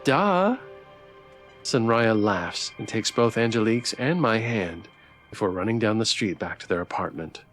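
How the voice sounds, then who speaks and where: A man's voice: lowish voice, normal speed. A man reading a visual novel aloud as a streamer, narrating the story of a game playthrough. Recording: background music. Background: music.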